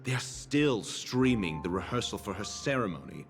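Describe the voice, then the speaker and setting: A man's voice: lowish voice, sing-song. A man voicing a haughty, sardonic scholarly doctor in a video game, speaking in a pompous, formal manner. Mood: dry disdain. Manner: pompous, formal, deliberate phrasing. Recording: background music under dialogue. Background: music.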